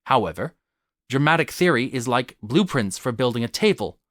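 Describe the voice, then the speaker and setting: Man reading a formal essay, lively intonation. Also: medium pitch, moderate pace, no echo, studio quality. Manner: articulate, formal, expository. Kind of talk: scripted essay narration.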